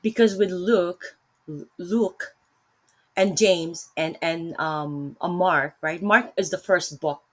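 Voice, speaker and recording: Filipino-accented woman, low register, unscripted Bible vlog, meandering delivery with frequent self-corrections. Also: slow. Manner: rambling, self-correcting, many fillers. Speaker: vlogger teaching Bible study. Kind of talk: casual Bible talk.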